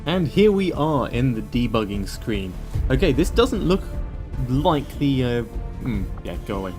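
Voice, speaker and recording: A man narrating a step-by-step software tutorial in an informal, explanatory way. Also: mid-range voice, very animated, measured pace, soft background music. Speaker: tutorial presenter.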